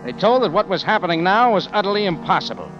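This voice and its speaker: An actor playing a tough, wry American adventurer with a terse hard-boiled delivery, in 1940s radio drama style, on a dull old recording. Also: male voice, high voice, sing-song, fast talker, over music. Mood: wry narration. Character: tough, wry American adventurer narrating his own case.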